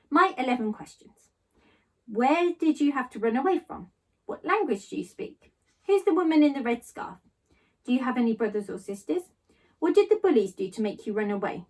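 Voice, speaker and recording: Higher-voiced woman reading a children's story. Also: a little mellow, distant mic, low-quality recording. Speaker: teacher reading aloud to pupils. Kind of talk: expressive children's story narration.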